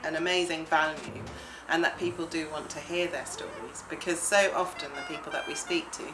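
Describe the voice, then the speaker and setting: A woman's voice: deep voice, fairly quick, roomy sound, music in the background, poor audio. A woman, a project coordinator, explaining a storytelling project earnestly in a documentary interview.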